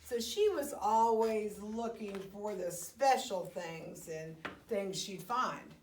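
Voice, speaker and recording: A woman's voice: medium pitch, slow pace, darkish tone, slight background noise. A woman storyteller reading a children's picture book aloud expressively, with little asides to her young listeners. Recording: roomy, echoey, distant microphone.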